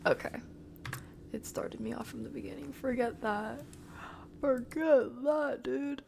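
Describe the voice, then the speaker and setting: A woman hosting a game stream, chatting casually and playfully with viewers in an informal, slangy way, her voice often creaky and sometimes soft. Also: sits high, unhurried, slight background noise. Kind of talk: casual chat with live viewers.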